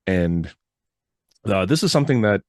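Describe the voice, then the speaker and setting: A man from New York hosting a podcast in a casual, rambling monologue, his deep voice hoarse and froggy from a recent illness. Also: big pitch swings, normal speed, no echo, studio quality. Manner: rambling, loose, with frequent "uh" fillers. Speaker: podcast host.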